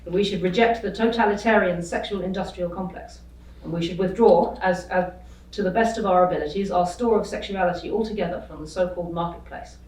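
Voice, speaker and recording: A woman's voice: fairly low pitch, a little fast, few pauses, darkish tone, distant mic. A woman panelist delivering a prepared speech in an earnest, urging, declamatory way. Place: lecture hall. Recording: roomy hall, voice from off mic.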